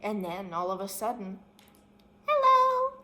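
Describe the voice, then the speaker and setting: A woman's voice: medium pitch, moderate pace. A woman reading a children's story aloud as scripted narration. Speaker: story reader. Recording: faint background noise.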